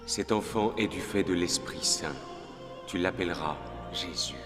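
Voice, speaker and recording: A solemn, majestic angel of God in a Bible cartoon, speaks in a very low, measured voice. The words ring out in a long reverb over loud music. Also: male voice. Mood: reassuring. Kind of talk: dubbed animated Bible drama.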